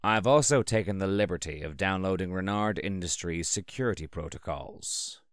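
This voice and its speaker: A man's voice: deep voice, moderate pace, clean tone, no echo, clean studio sound, lightly acted. An actor playing a formal, precise male assistant who hides a mischievous, mocking trickster god, in an audio drama. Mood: bland, formal.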